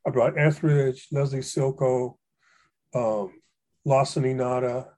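An older man from Hawaii telling stories about fellow poets in a relaxed conversation, with frequent fillers and restarts. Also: mid-range voice, unhurried. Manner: rambling, many fillers and restarts, warm anecdotes. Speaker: poet and guest. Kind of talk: reflective storytelling conversation.

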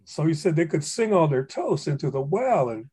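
An older man from Hawaii telling stories about fellow poets in a relaxed conversation, with frequent fillers and restarts. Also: a little high, normal speed, husky. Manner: rambling, many fillers and restarts, warm anecdotes. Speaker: poet and guest. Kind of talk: reflective storytelling conversation.

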